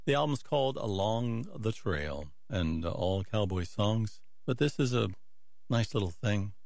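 Male radio host, creaky and folksy, rambling casually between records in a dry studio. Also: lowish voice, animated, a little slow, darkish tone, dry recording. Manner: rambling, folksy asides to himself. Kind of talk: casual music show chat.

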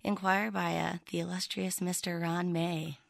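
Low-voiced Midwestern woman, country singer guest; chatty, rambling storyteller. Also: level tone, moderate pace, creaky, dry recording, clean studio sound. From Midwestern United States. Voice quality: lower speaking voice. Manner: chatty storytelling with many fillers and restarts. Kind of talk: conversational interview.

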